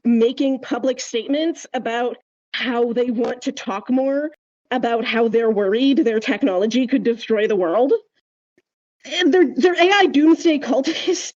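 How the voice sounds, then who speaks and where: A woman with a fairly high yet very mellow, soft-edged voice talks through ideas as a podcast host. She is casual and emphatic, leaning on conversational fillers as she explains. The sound is slightly dull and lo-fi. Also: unhurried. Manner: emphatic, hedging with frequent "like" and "right?".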